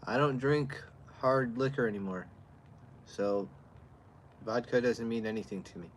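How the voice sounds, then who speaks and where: A man's voice: medium pitch, a little slow, a little hiss or hum. A man livestreaming outdoors in chilly air, rambling casually and answering his chat in a loose, plain-spoken way. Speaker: livestreamer reviewing beer.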